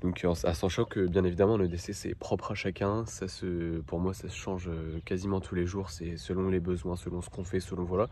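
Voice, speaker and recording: A man with a deep, low voice hosts a casual presentation to camera. He speaks informally and haltingly, hesitating as he explains things. Some ambient noise sits behind his voice. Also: flat delivery, dark tone. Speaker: video host presenting everyday-carry gear.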